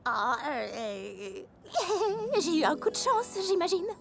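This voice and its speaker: A woman's voice: high voice, sing-song, slow, creaky, music in the background, heavily acted. A woman voicing an elegant young pony fashion designer in a French cartoon dub, with a refined, effusive and melodramatic manner. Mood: sheepish.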